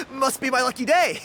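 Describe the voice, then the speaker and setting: Animated, cocky young man. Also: very high-pitched, slight background noise, heavily acted. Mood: excited, boastful. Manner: boastful, laughs often. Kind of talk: video game dialogue.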